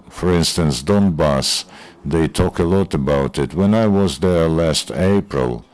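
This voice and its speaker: A man working as a simultaneous interpreter at a press presentation, speaking haltingly with frequent pauses and restarts. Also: extremely low pitch.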